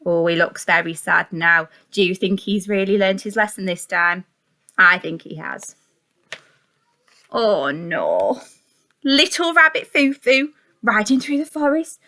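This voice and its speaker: A woman's voice: medium pitch, big pitch swings, takes it slowly, bright, forceful tone. A woman teacher reading a picture book to young children in a sing-song, exaggerated storytelling way, with questions to the listeners. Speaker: nursery and reception teacher.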